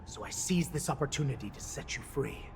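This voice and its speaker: A man's voice: medium pitch, expressive, fairly quick, vocal fry. A man voicing a cunning, humble beast counselor in disguise in a video game, speaking with formal, theatrical deference. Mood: earnest, scheming. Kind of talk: dramatic video game voice acting. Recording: game audio with music behind.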